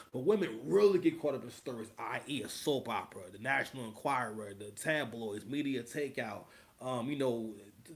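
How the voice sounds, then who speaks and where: A man hosting a casual reaction commentary, rambling and slangy, with animated swings in delivery. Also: mid-range voice. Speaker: commentary host.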